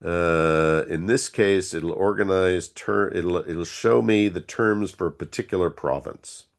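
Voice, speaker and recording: A man teaching an informal online software tutorial over a video call, explaining step by step with some hesitation, sounding slightly congested from a cold. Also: deep voice, a little slow, gravelly. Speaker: workshop instructor.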